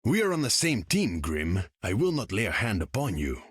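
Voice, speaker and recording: An imperious ruler of the deep for a video game. His husky voice swoops through grand, formal phrases with pompous pride, recorded close and dry. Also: huge pitch swings, normal speed, no room sound, exaggerated voice. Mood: scornful. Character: haughty imperious undersea king, proud conqueror. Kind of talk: video game character dialogue.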